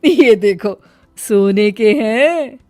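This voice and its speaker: A woman voicing a bossy middle-aged mother-in-law in an acted Hindi story, sharp and commanding. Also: a little high, lively intonation, drawn-out words, no room sound, soft background music. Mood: doting.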